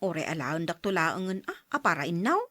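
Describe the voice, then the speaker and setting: An actress playing a practical farmer's wife who complains in a gently teasing way. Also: female voice, fairly low pitch, sing-song, brisk, no echo, clean studio sound. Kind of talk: audio drama acting.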